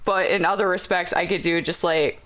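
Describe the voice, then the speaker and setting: A man's voice: sits high, steady tone, moderate pace, a bit bright. A man chatting as a podcast guest about music, rambling with many fillers and frequent laughs. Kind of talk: casual podcast chat.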